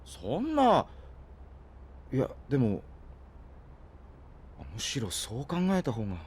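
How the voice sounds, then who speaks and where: A man's voice: medium pitch, huge pitch swings, takes it slowly, darkish tone, slight background noise. An actor playing an earnest, timid young male junior researcher in an audio drama, deferential and easily flustered. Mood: puzzled. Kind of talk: Japanese audio drama acting.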